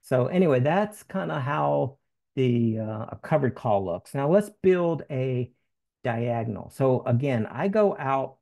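Male webinar presenter, sixties, American, relaxed and gravelly, halting conversational delivery on a headset at home. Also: medium pitch. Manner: halting, conversational, frequent you-knows. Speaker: options trading meetup presenter. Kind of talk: informal webinar teaching with slides and screen share. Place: home office room.

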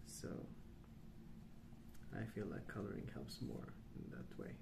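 A man speaking softly and hushed in an unhurried, halting ASMR ramble, often trailing off. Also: low voice, big pitch swings. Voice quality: soft, hushed. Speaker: ASMR video host. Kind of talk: soft-spoken ASMR rambling. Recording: clear background noise.

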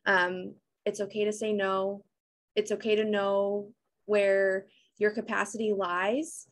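A woman's voice: medium pitch, flat delivery, takes it slowly, slight background noise. A woman in her thirties moderating a panel over a video call, in a friendly, reassuring manner. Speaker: panel moderator, civic engagement director. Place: indoor room on video call.

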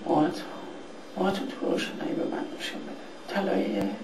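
A man's voice: high voice, big pitch swings. A man reading a play on stage, playing a dying, fearful man who pleads in anguish. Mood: anxious, longing. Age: middle-aged. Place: indoor hall or classroom, staged reading. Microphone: handheld dynamic mic on stand. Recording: noisy, echoey live hall recording, distant.

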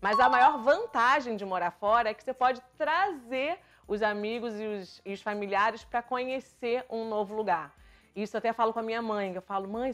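A Brazilian woman in her thirties with a Carioca accent mixed with European Portuguese touches, chatting casually to the camera from her sofa as a vlogger, lively and gesturing as she talks. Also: fairly high pitch. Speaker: vlogger answering viewer questions. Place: bright living room, seated on a sofa.

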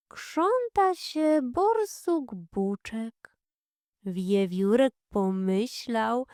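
A woman narrating a children's fairy tale from a script in a calm storytelling style. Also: mid-range voice, dry recording, studio quality.

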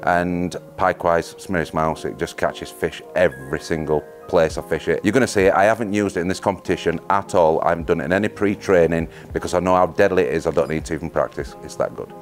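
Very deep-voiced plain-spoken man. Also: fast talker, hardly any pauses, faint music behind. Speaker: angler interviewee. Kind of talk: casual interview answers. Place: indoor room. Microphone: lapel mic.

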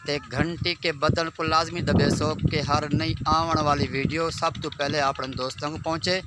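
A man's voice: fairly high pitch, flat delivery, normal speed. A man in his thirties with a Saraiki accent, talking earnestly to his phone camera outdoors on a farm and giving advice to his friends. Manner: earnest, advising friends, repeats phrases. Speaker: vlogger. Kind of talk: casual vlog to camera. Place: outdoors on a farm beside palm trees. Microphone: phone held at arm's length. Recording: outdoor phone audio with background noise.

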